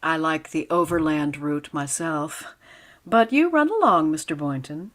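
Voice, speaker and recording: A woman playing a wisecracking high school English teacher in vintage radio comedy style, with dry, sardonic comebacks. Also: expressive, measured pace. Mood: dry, catty.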